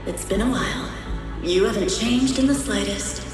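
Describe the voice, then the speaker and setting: Game villain: menacing female phantom, unhurried and coolly accusing, drenched in echo over music. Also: medium pitch, animated. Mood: taunting, cold. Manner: taunting, deliberate, coolly accusing. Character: menacing, taunting female phantom from the heroine's past. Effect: echoing reverb. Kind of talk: dramatic video game villain acting. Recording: heavy echo, distant, music behind.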